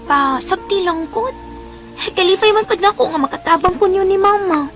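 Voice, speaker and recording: Woman acting very high-voiced earnest little Bisaya girl. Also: moderate pace, fairly bright tone, soft background music. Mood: tender, pleading. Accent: Cebuano Bisaya. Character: dutiful twelve-year-old girl from a poor family, earnest and helpful. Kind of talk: Bisaya radio drama acting.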